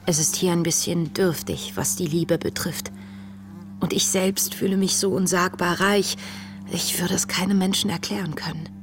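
Actress as low-voiced, reflective camp letter writer. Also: female voice, fairly even intonation, background noise, lightly acted. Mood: reflective, tender. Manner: reflective, measured, sometimes hushed. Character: compassionate young woman writing letters from a camp. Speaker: lead actress, letter reader. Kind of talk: intimate literary letter narration in a radio play.

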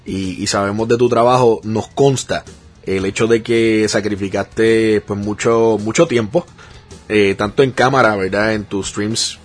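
A man's voice: on the low side, fairly even intonation, unhurried, faint music behind. A man, hosting a podcast and asking questions in a friendly, chatty way. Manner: friendly, chatty, calls the guest "mano". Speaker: podcast host, interviewer. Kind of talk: casual podcast interview.

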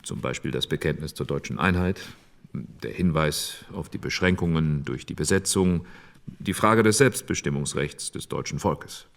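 Committee chairman, very low voice, formal, deliberate, argumentative, radio play. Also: gravelly, fairly bright tone, full character voice. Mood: formal, businesslike. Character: formal male committee chairman, insistent post-war German politician. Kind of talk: radio drama re-enactment of a parliamentary debate.

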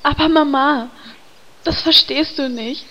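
Woman as high-voiced dramatic elf priestess. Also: a little slow, pushed and bright, muffled recording, slight background noise. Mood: mournful, lovesick. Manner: pouting, melodramatic. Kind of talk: comedy audio drama.

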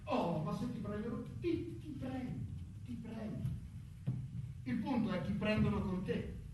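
A man's voice: high-pitched, unhurried. Echoey, far-off bitter office worker played by a man. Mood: insinuating. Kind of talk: stage drama acting. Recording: echoey far-off stage recording, clear noise.

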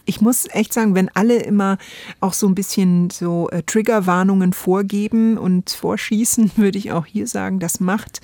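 A woman's voice: mid-range voice, fairly even intonation, normal speed, dark tone, no echo, studio quality. A woman co-hosting a radio programme, reflecting conversationally. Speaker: radio podcast co-host.

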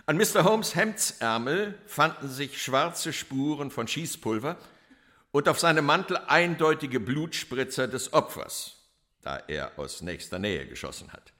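A cold police inspector giving testimony in a crime radio play. His voice is bright and forceful, with lively rises and falls. He speaks formally and deliberately, leaning on each damning word with complete self-assurance. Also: on the high side. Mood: accusing, emphatic. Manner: formal, emphatic, deliberate courtroom oratory. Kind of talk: German radio crime drama acting.

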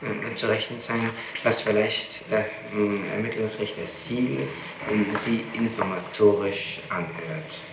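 A man speaking as a public prosecutor in formal, halting officialese during a questioning. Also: lowish voice, fairly even intonation. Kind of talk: spontaneous official talk at a questioning. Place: echoing room, distant microphone. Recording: noisy echoey distant 1960s tape recording.

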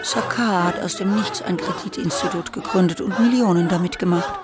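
A woman's voice: fairly low pitch, a little fast, a loud crowd, natural voice. A woman playing a vain, greedy, world-weary Parisian courtesan in a German radio play, musing theatrically to herself. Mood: scheming. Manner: theatrical, self-absorbed, musing aloud. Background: music.

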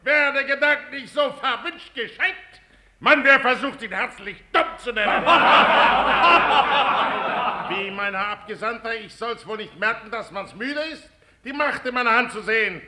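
An actor playing a proud, bitter, imperious general in a declamatory 1950s radio drama. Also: male voice, very high voice, a little slow, bright, forceful tone, full character voice. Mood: bitter scorn. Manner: declamatory, theatrical stage delivery. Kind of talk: 1950s German radio play acting. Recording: crowd noise behind, 1950s radio recording.

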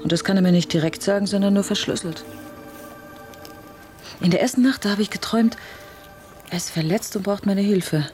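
A woman's voice: mid-range voice, brisk, long pauses, a little mellow, faint music behind, lightly acted. A woman playing an educated, earnest visitor with a wry, thoughtful manner in a naturalistic radio drama. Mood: worried.

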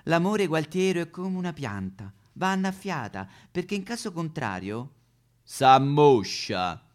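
A man's voice: fairly high pitch, big pitch swings, unhurried. A man playing a needy, sentimental, exasperated wife and mother in a satirical stage sketch. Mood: earnest, reproachful.

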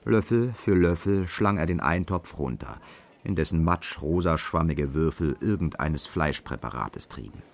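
A man's voice: very deep voice, expressive, moderate pace, gravelly, heavily acted. A man narrating a literary radio drama in scripted prose.

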